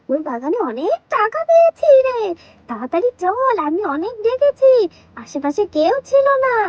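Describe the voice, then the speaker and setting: A woman voicing an eager, stubborn, excitable little girl with a whiny edge in a children's doll story. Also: extremely high pitch, no room sound, lo-fi sound.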